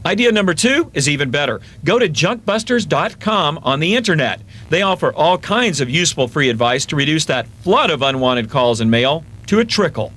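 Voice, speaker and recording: High-pitched, lively American male TV reporter. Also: a little fast, few pauses. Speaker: consumer finance reporter. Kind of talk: scripted TV news report narration.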